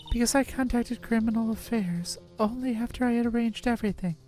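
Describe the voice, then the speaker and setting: A woman's voice: fairly high pitch, fairly quick, mellow, close to an everyday voice. Earnest young sleuth played by a woman, music behind. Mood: earnest concern. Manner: earnest, dramatic line reading. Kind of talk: amateur voice acting of game dialogue.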